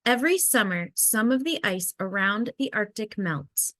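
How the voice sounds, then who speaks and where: A woman reading a children's nonfiction picture book aloud in a measured, expressive storytelling way. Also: medium pitch, no echo. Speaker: read-aloud narrator.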